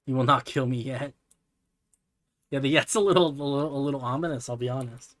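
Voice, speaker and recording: A man streaming from his computer, chatting casually in a soft, relaxing voice, rambling with lots of fillers and self-deprecating asides. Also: mid-range voice, a little fast, no echo. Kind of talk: casual livestream chat while building.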